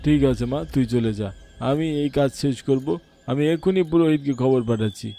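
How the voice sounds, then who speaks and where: Fatherly older village headman, soft-toned, played by a man. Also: mid-range voice, moderate pace, slight background noise, close to an everyday voice. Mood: reassuring. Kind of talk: cartoon voice acting.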